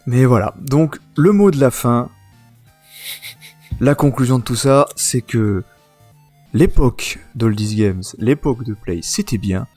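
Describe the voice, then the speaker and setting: A man's voice: medium pitch, animated, measured pace, long pauses, darkish tone. A man hosting a casual podcast, chatting informally and often amused. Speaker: podcast host. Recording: music playing behind the voice. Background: music.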